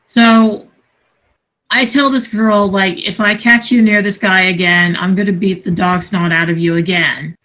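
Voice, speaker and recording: A woman chatting casually and telling stories in a sweary, animated way, acting out what people said. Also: mid-range voice, measured pace, poor audio. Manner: sweary, animated retelling with acted-out dialogue. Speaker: podcast co-host. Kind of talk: casual storytelling chat.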